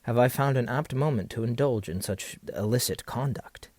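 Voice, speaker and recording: An actor playing a vain, scheming, seductive military captain in a florid, theatrical audio drama style. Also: male voice, medium pitch, darkish tone, no echo, studio quality, close to an everyday voice. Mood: sly, seductive. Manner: theatrical, florid, pausing rhetorically. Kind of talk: stage-play style period audio drama acting.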